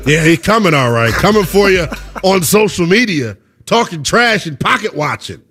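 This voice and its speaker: A man in his twenties hosting a call-in sports talk show, lively and slangy, laughing often. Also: fairly high pitch, slow pace, long pauses, soft background music. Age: 20s. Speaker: sports radio host.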